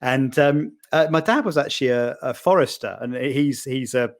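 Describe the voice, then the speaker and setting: A man's voice: medium pitch, normal speed. A man in his forties from Wales, a guest on a podcast, talking in a friendly, rambling way and laughing easily. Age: 40s. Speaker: podcast guest, marketing executive. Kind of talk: casual interview.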